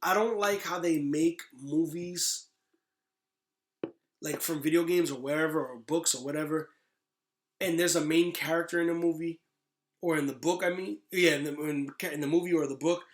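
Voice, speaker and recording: A man's voice: on the high side, fairly even intonation, normal speed. A man hosting a casual podcast chat, emphatic and full of slang, with some swearing. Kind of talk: casual podcast chat about movies and games. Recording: echoey, roomy podcast recording.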